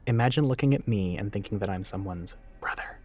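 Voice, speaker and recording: A man's voice: on the low side, brisk, a little mellow, slightly rough. A man acting out a catty, mischievous retail worker in an audio roleplay, with a sassy, teasing, theatrical delivery.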